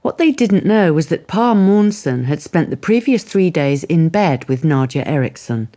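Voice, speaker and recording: A man's voice: a little high, big pitch swings, normal speed, warm tone. A man narrating a radio drama in a scripted storytelling style.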